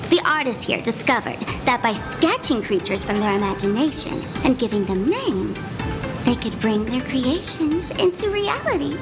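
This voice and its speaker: Brief: playful young female trickster for a game, high and lively, teasing, loud music underneath. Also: normal speed. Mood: wry, explaining. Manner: teasing, theatrical. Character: mischievous, playful young woman trickster acting as tour guide. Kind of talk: video game character acting.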